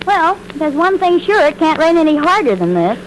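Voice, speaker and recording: An actress playing a good-natured, wryly teasing wife in a vintage radio comedy, with dry delivery. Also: female voice, high voice, very animated, normal speed. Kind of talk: 1940s-50s radio sitcom acting. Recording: noisy old radio broadcast recording.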